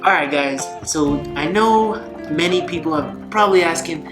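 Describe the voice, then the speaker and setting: A man's voice: on the high side, moderate pace. Man, casual storytelling, vlog co-host, echoey room, faint background music.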